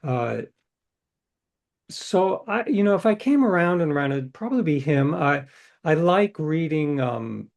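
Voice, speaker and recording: A man's voice: a little high, expressive, unhurried, vocal fry, darkish tone. A middle-aged man, an author being interviewed, telling stories in a thoughtful, meandering way with restarts. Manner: thoughtful, restarts and trails off between ideas. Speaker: interview guest, novelist. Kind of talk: reflective conversational storytelling.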